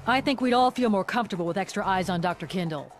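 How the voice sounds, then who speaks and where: A woman's voice: medium pitch, expressive, faint music behind, lightly acted. A woman playing a tough, no-nonsense female bodyguard who gives orders in a video game cutscene. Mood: firm, wary. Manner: brisk, no-nonsense, bossy. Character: tough, commanding female bodyguard leading the team.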